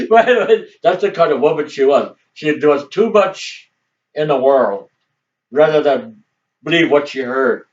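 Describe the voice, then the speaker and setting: A man's voice: a little high, expressive, unhurried, pauses often. Older man, Assiniboine first language, rambling grandfather storyteller, chuckles, distant dull home recording. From Montana, US. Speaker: grandfather telling family history. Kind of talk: casual storytelling.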